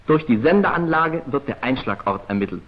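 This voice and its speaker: A man's voice: mid-range voice, animated, fairly quick. Muffled 1940s film narrator, male. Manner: formal, precise, clipped declamatory delivery. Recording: muffled, dull vintage 1940s film soundtrack.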